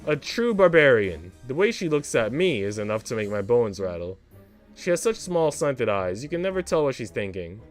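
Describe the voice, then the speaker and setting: A man's voice: medium pitch, very animated, normal speed, soft background music, close to an everyday voice. A man voicing a haughty, condescending English maid, a prim woman with a cruel streak, in a visual novel. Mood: smugly disdainful.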